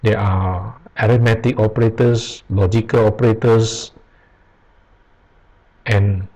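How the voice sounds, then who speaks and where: A man teaching programming over a screen recording, reading aloud slowly with pauses and restarts. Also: lowish voice, steady tone, dark tone, husky. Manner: slow, halting, reads aloud with pauses and restarts. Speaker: programming tutor. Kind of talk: screen-recorded tutorial reading from web pages. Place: at a computer, voice-over on screen capture.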